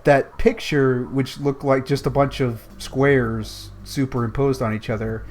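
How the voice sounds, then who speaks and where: A man's voice: mid-range voice, flat delivery, measured pace, darkish tone. A man on a podcast panel, explaining his thoughts in casual group discussion.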